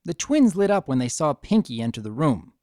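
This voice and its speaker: A man's voice: fairly high pitch, very animated, a little fast, darkish tone, no room sound, clean studio sound. A man reading a story aloud as a narrator, in a dramatic scripted storytelling style. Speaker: narrator of a fan fiction story.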